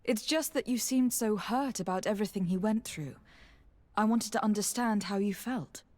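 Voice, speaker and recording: An actress playing a composed, caring young noblewoman in a video game, speaking in a measured, formal, gentle way. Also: medium pitch, lightly acted. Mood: gentle, curious. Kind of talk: video game dialogue acting.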